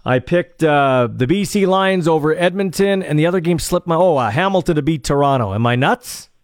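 A man's voice: a little high, expressive, a little fast, no room sound, studio quality. A man hosting a radio sports show, talking in an easygoing, casual way. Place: radio studio.

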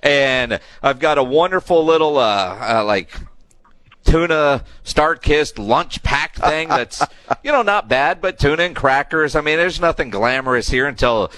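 A man's voice: fairly high pitch, animated, a little slow, fairly bright tone, no room sound. A man hosting a sports radio show, chatting casually and telling teasing anecdotes. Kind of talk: casual chat.

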